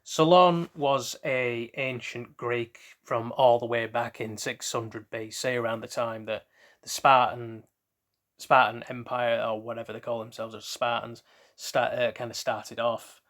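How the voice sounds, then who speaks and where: A man's voice: medium pitch, fairly even intonation, measured pace, a bit bright. A man hosting a casual trivia quiz, reading out questions and then rambling loosely through hesitant asides about the answers. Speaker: quiz host.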